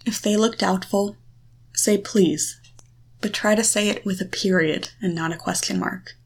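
A woman guiding the listener through a visualization, coaching in short, deliberate phrases with a reassuring, instructive manner. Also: mid-range voice, steady tone, gravelly. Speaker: guide leading a guided erotic confidence exercise. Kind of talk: guided visualization, second-person coaching.

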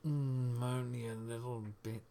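Slow whispering man, faint hiss. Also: on the low side. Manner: soft, breathy, often whispering; murmuring, sighing, reassuring. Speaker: audio roleplay performer. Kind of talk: intimate whispered roleplay.

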